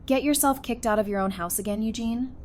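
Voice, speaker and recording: A woman's voice: a little high, brisk, a little mellow, slight background noise, close to an everyday voice. Woman acting a playful, teasing young survivor. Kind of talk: fan audio drama acting.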